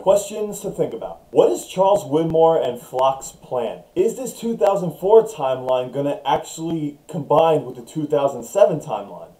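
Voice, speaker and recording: A man's voice: medium pitch, warm tone, rough recording. A young man casually recapping and theorizing about a TV episode in a chatty vlog. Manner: chatty and theorizing, quick bursts. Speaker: show recap vlogger.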